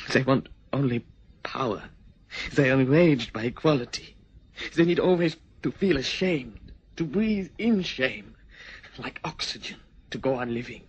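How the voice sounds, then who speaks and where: An actor playing a nervous, earnest German émigré tutor with a German accent, speaking softly and stammering. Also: male voice, mid-range voice, breathy edge. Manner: soft, hesitant, stammering. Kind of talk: stage drama acting.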